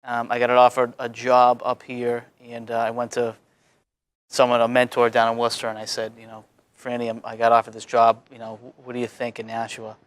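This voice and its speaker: A man in his thirties from Massachusetts, a newly elected alderman, telling a relaxed story with fillers in an interview. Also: mid-range voice, a little fast. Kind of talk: casual interview chat.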